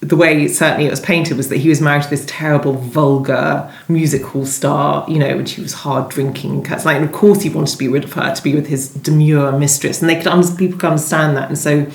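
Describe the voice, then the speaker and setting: A woman's voice: deep voice, steady tone, fairly quick, gravelly, hardly any pauses. A woman novelist being interviewed, talking conversationally and thinking aloud, often hesitating and rephrasing. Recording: roomy, echoey sound.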